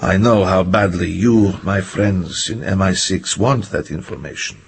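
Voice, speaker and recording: An actor playing a grave, earnest middle-aged Soviet intelligence colonel, in a radio drama. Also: male voice, deep voice, unhurried, vocal fry, slight background noise. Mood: grave, urgent.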